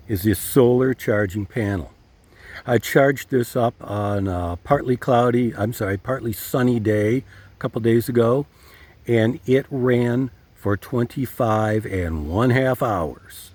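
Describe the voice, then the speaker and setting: An older man presenting a casual product review, speaking deliberately and repeating key phrases for emphasis. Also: medium pitch, a little mellow, breathy edge. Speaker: host reviewing gear.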